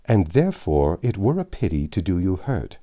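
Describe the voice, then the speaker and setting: A man's voice: low voice, big pitch swings, normal speed, warm tone. A man reading the lines of a courtly medieval knight in stately, archaic speech. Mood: courteous. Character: courtly medieval male knight, formal and gallant.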